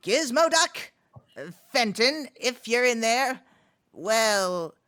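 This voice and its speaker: A woman's voice: fairly high pitch, lively intonation, drawn-out words, fairly bright tone, exaggerated voice. A woman voicing an earnest, theatrical cartoon character in a live comic dub. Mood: wistful, earnest.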